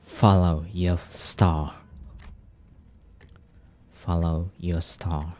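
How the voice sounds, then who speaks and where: Slow, deep-voiced man. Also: little pitch movement, darkish tone. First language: Japanese. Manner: reads game text aloud and translates it, swears casually. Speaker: gaming streamer. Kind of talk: casual game playthrough commentary.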